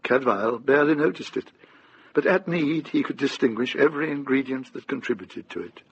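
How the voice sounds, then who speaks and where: A man narrating a radio drama in a deliberate, literary storytelling manner. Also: mid-range voice, vocal fry, lo-fi sound, noisy background.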